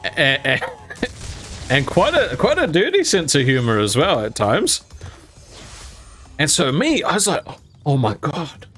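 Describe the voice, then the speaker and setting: A man's voice: fairly high pitch, huge pitch swings, unhurried, long pauses, fairly bright tone, soft background music. A young adult man telling a personal story to camera, rambling with fillers and laughing along as he goes.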